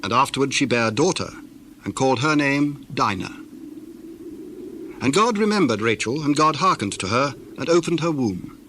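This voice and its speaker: A man giving measured, formal scripted narration for a dramatized Bible reading. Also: mid-range voice, lively intonation, pushed and bright, a little hiss or hum.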